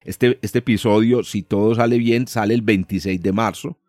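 A Colombian man with a Paisa accent, hosting a relaxed podcast talk on astronomy, lively with many restarts and fillers. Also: lowish voice, moderate pace, no room sound. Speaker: podcast host and astronomy professor. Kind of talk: casual conversational podcast.

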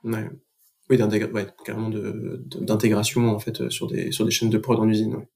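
A man's voice: on the low side, completely flat delivery, brisk, creaky, a little mellow, breathy edge. A man, a podcast guest, explaining things in casual conversation. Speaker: podcast guest, business manager.

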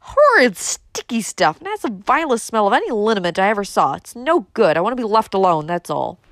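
A woman's voice: medium pitch, very animated, brisk, fairly bright tone, no room sound, clean studio sound. A woman voicing a downtrodden young woman in a storybook, hesitant yet stubborn. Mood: defiant. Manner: hesitant, stammering, then insistent. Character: downtrodden young woman turning rudely defiant.

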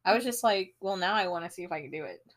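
A woman's voice: on the low side, expressive, racing. A young woman in casual podcast chat, rambling with lots of fillers and laughing often.

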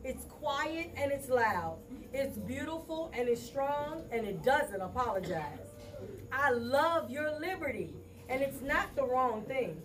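A woman's voice: sits high, expressive, takes it slowly, far from the mic, background noise. A woman performing spoken word poetry live, in a rhythmic, rhyming recitation.

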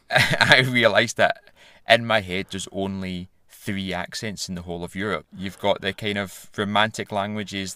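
A Glaswegian man hosting a casual conversation, chatty and quick to laugh. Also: low-pitched, pushed and bright, clear, resonant tone, no room sound. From Scotland.

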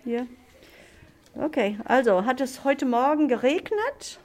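A woman from Germany, a German teacher hosting a classroom podcast, asking simple questions slowly with many fillers. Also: high voice, sing-song, a little mellow.

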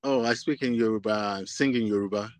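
A man's voice: on the low side, a little fast. A man from Nigeria, a guest musician, answering hesitantly with many fillers. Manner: hesitant, filler-heavy speech. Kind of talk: interview answers and singing.

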